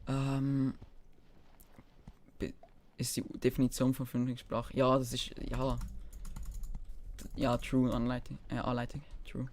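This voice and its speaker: A young man with a Swiss German accent, chatting casually on a gaming livestream and mixing in English gamer slang, with a medium-pitched voice. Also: completely flat delivery, takes it slowly, pauses often, a little hiss or hum. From German-speaking Switzerland. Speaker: live streamer. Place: indoors at a computer, keyboard typing behind.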